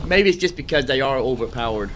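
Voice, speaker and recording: A man giving casual solo gameplay commentary, thinking aloud in a rambling way and often repeating his phrases, with steady noise behind him. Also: medium pitch, fast talker. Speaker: gaming streamer.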